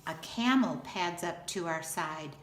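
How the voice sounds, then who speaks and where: A woman in her fifties, a teacher reading a children's picture book aloud in an expressive, deliberate way. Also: on the low side. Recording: roomy echo, faint background noise.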